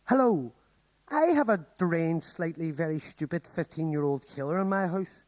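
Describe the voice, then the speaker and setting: A sarcastic, put-upon young man played by an actor for radio comedy. His voice sits fairly high and jumps around a lot as he gets flustered and exasperated. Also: expressive, normal speed, a little mellow, natural voice. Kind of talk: radio comedy play acting.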